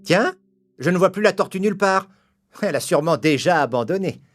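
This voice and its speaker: A cocky hare from an animated fable, acted by a man. The voice sits high and swings widely in pitch. The delivery is scoffing and self-satisfied, with faint music underneath. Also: brisk. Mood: smug. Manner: arrogant, scoffing, laughs at others. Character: boastful, mocking male hare, smug and lazy. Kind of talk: animated fable acting in French.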